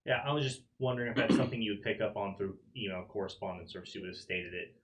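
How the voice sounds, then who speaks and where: A man playing a tabletop game, asking casual questions in a halting, inquisitive way. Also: on the low side. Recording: noisy room recording with clicks.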